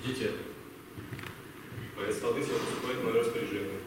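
A man's voice: fairly low pitch, steady tone, a little fast, lightly acted. A man playing a brusque but kindly wartime captain who gives clipped orders to women soldiers in an amateur stage play. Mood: stern, commanding. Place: large hall, voice far from microphone. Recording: echoey noisy hall, distant microphone.

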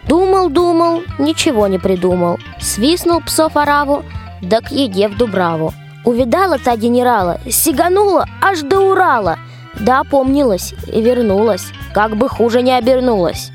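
A woman's voice: high-pitched, animated, normal speed, soft background music. A female performer playing a teasing narrator, telling a comic radio fairy tale in rhyme.

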